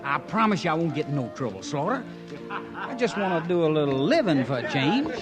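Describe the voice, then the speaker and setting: An actor playing an eager, grumbling young cowhand in a radio western, pleading in a folksy, whiny way. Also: male voice, fairly high pitch, very animated, fairly quick, over loud music. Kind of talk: 1950s radio western acting. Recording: vintage radio drama recording.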